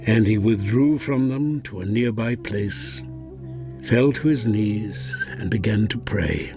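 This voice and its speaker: A man narrating a scripted audio drama in a solemn, deliberate way. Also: lowish voice. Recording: soft music behind.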